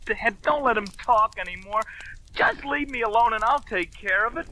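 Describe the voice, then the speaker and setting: A man's voice: sits high, normal speed, pushed and bright. An actor playing a fragile young man near breakdown in an old-time radio drama, strained, pleading and overwrought. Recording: noisy vintage radio drama recording.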